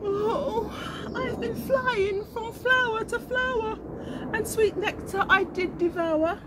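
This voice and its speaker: Woman doing a high, weepy worn-out bumblebee. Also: slow pace, background noise. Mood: breathless. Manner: breathless, tearful, high and sing-song. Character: tired, weepy little female bumblebee.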